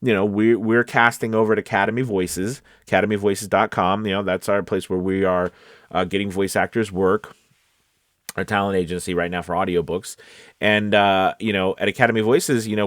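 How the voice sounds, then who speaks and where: Low-voiced man giving casual, emphatic coaching advice. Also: fairly even intonation, normal speed, fairly bright tone, no room sound, clean studio sound. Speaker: voice-over coach and casting director. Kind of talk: casual direct-to-viewer advice talk.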